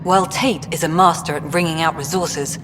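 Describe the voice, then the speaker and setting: An actress playing a stern, pragmatic colonial director in a video game, speaking in a clipped, dry, businesslike way. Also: female voice, sits low, fairly even intonation, brisk, music in the background, natural voice. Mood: dry, guarded. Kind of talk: video game dialogue acting. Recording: hall reverb with clear background noise.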